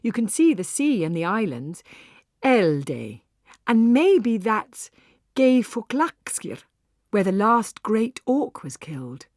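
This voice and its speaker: A woman narrating a story in the first person, with an expressive, wry and theatrical delivery. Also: medium pitch, slow pace, no echo, clean studio sound. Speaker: audiobook narrator.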